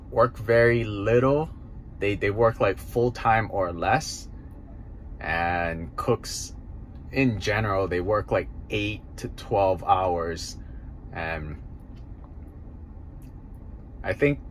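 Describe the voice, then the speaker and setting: A man's voice: fairly low pitch, a bit bright, a little hiss or hum, rough recording. A man in his thirties, a restaurant owner, talking casually in a parked car at night into a phone camera, thinking aloud with many fillers. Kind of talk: casual monologue. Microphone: phone camera held close.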